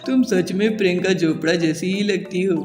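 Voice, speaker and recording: A young cartoon husband, played by a man, bossy and quick to scold, with a fairly high voice. The sound is roomy with a long reverb and background music. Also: close to an everyday voice. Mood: gentle. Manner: loud and scolding.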